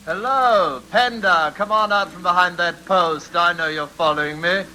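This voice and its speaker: An actor playing a jaunty, teasing crime reporter with a breezy, mocking manner, in 1940s radio style. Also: male voice, high voice, moderate pace, loud music behind the voice, natural voice, poor audio. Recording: old radio recording with clear hiss.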